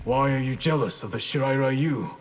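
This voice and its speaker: An actor playing a composed, inquisitive martial artist in a fighting game, speaking formally. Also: male voice, mid-range voice, normal speed, faint music behind, lightly acted. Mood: calm, probing. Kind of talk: fighting game character dialogue. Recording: long reverb, clear background noise.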